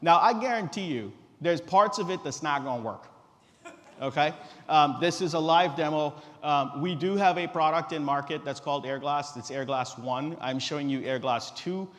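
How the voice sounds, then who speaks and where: A middle-aged man from Guyana with a slightly high voice talks casually from a conference stage. He is relaxed and direct, joking as he demos technology, and his voice rings through a reverberant hall. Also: normal speed. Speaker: company founder presenting a tech demo. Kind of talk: informal live stage presentation.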